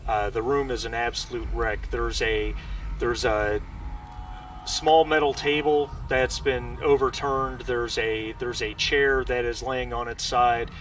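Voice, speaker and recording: A man's voice: medium pitch, level tone, measured pace, fairly bright tone. A man narrating a tabletop game as game master, improvising and searching for his words as he goes. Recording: slightly distant mic with faint background noise.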